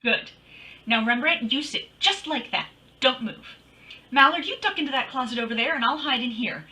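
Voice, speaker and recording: A woman's voice: high-pitched, lively intonation, normal speed, bright, forceful tone, slight background noise. A woman playing a bold, wisecracking private detective in an old-time radio drama, with a breezy, teasing, wry delivery. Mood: bossy, playful. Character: bold, wisecracking female private detective narrating her case.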